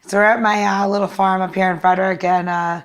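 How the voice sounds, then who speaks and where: A woman's voice: medium pitch, level tone, fast. A woman co-hosting a podcast with playful, irreverent casual chat. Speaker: podcast co-host.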